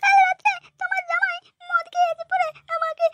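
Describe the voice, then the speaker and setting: Cartoon young wife: plaintive, naive, very high-pitched, quick delivery. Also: female voice, level tone, pushed and bright, no room sound. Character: distressed, naive young married daughter, complaining. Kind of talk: cartoon comedy dialogue.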